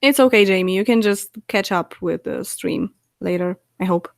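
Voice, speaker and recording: A Polish woman in her twenties chatting on a live stream, answering viewers' questions with frequent laughs and halting fillers. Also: mid-range voice, vocal fry, no echo, studio quality. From Poland. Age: 20s. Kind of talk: casual live stream chat.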